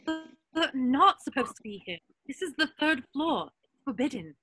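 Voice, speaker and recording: A woman's voice: sits high, sing-song, a little slow, bright, forceful tone, soft background music, exaggerated voice. A woman playing a bossy, know-it-all schoolgirl witch in an amateur script table read.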